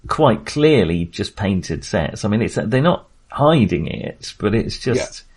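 A man's voice: lowish voice, sing-song, slow, gravelly, a little mellow, husky. A man talking casually as a film podcast host, in a hesitant, rambling but enthusiastic way.

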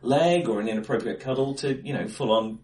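A man's voice: mid-range voice, brisk. A man discussing films casually as a podcast co-host, often hesitating and correcting himself as he thinks aloud. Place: indoors, face to face in a reverberant room. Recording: echoey, acoustically bright room.

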